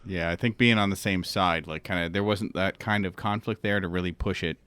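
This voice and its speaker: A man's voice: low-pitched, fairly even intonation, a little fast, a bit bright, clear, resonant tone, dry recording, clean studio sound. A man talking casually as a cast member on a panel.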